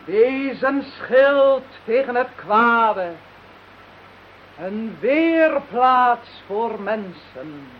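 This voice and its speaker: High-pitched, self-assured witch. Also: animated, drawn-out words. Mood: solemn incanting. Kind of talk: Dutch radio play acting. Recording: dull, slightly hissy radio drama recording.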